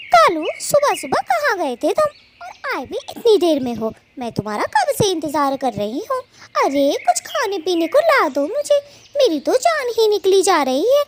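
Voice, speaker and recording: A woman acts out an indignant little bird wife in a cartoon tale. Her voice is extremely high and swings widely as she scolds and nags. Some noise sits behind her. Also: wildly swooping pitch, moderate pace, exaggerated voice. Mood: nagging, demanding. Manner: shrill scolding, nagging. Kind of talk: Hindi cartoon moral story dialogue.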